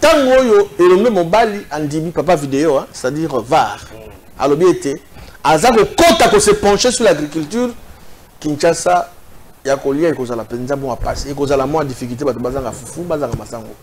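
Un homme d'une quarantaine d'années avec un accent congolais, débattant sur un plateau de télévision d'un ton animé et hésitant, avec beaucoup d'hésitations. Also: male voice, fairly high pitch, a little fast. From Équateur province, DR Congo. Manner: animated, hesitant, many fillers, gesturing. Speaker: political commentator. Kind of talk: TV debate. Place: TV studio set.

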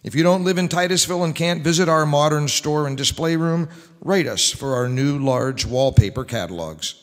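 A man's voice: on the high side, moderate pace. A middle-aged man speaks as a radio show host, reading scripted copy with formal, projected announcer delivery. His voice rings in a large, reverberant hall. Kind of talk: old-time radio announcing, reading scripted ads and introductions. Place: large wood-panelled indoor hall, at a lectern. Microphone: microphone on a stand.

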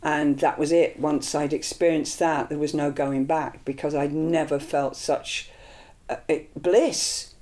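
Older woman, Scottish-born, telling her story in an interview; earnest, animated, loose and rambling. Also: low voice, measured pace. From Scotland. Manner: earnest, animated with hand gestures; rambling with fillers and restarts. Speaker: improvising vocalist. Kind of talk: reflective interview. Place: small indoor studio room.

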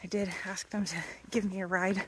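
A woman's voice: medium pitch, flat delivery, a little fast, noisy background. A young woman, chatting casually into a phone held at arm's length while thru-hiking on a wooded trail, sometimes puffing from the climb. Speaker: thru-hiker vlogger. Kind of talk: casual vlog. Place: outdoors on a wooded mountain trail.

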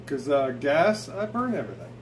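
A man's voice: a little high, huge pitch swings, moderate pace, heavy background noise. A man in his forties chatting casually on a home vlog, joking in a dry, laid-back way, filmed by a handheld phone outdoors and in a kitchen. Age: 40s. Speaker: vlog co-host. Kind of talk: casual chat.

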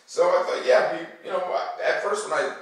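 A man's voice: high-pitched, normal speed. A middle-aged man who hosts a read-aloud for students, chatting casually to kids in an easygoing, conversational way with wry asides. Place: living room at home, armchair by fireplace. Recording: very echoey room, distant mic.

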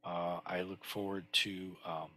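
A man in his sixties teaching a lesson from his home study over a headset, explaining slowly with many fillers and restarts. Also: low-pitched, flat delivery, sharp tone. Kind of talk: screen-share lesson on Chinese characters.

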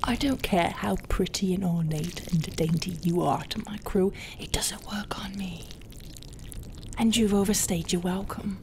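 Through clearly noisy ambience comes a haughty female pirate captain, played by a woman in an ASMR roleplay. Her pitch is middling, and she speaks with grudging scorn, often slipping from sharp talk into whispering. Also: a little slow, lightly acted. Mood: scornful, cold. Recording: clear ambient noise behind the voice.

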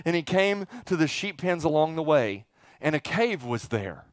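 A man's voice: on the high side, dry recording, studio quality. A middle-aged man preaching an informal, interactive sermon as a pastor, folksy and joking, putting questions to his congregation and repeating phrases for emphasis. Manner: folksy, joking, asks the audience rhetorical questions. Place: church auditorium stage, indoors. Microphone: headset.

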